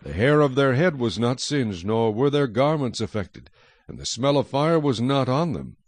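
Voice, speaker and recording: A man's voice: medium pitch, big pitch swings, moderate pace, no echo. A man narrating scripture from a script in a dramatized audio production.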